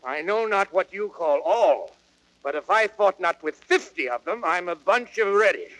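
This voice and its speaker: Blustering, high-pitched fat old knight. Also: male voice, expressive, normal speed, fairly bright tone, poor audio. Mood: boastful. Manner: blustering, declamatory, exaggerating. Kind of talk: old radio Shakespeare acting.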